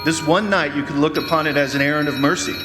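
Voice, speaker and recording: A man playing an idealistic, gentle ambulance doctor in a radio drama, speaking earnestly and formally. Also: a little high, steady tone, quick pace, lightly acted. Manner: earnest, soothing, formal, lofty. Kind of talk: old-time radio drama acting. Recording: echoey hall stage recording, faint music behind.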